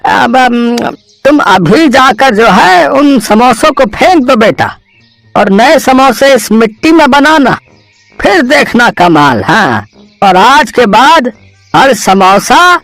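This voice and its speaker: A man voicing an elderly, kindly village potter who gives gentle, fatherly advice in a cartoon story. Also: very high voice, no echo, full character voice.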